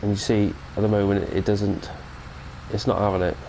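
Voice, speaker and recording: A man's voice: fairly low pitch, little pitch movement, moderate pace, creaky, mellow, a little hiss or hum, poor audio. A British man with a cold, giving a chatty, rambling mod review of a farming game and correcting himself as he goes. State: has a cold. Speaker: gaming channel host. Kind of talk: casual mod review commentary.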